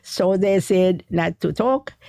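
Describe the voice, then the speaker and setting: Halting gravelly woman author recounting. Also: fairly low pitch, mellow, no echo, studio quality. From Ethiopia. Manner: halting, searching for words. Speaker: author guest. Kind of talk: interview storytelling.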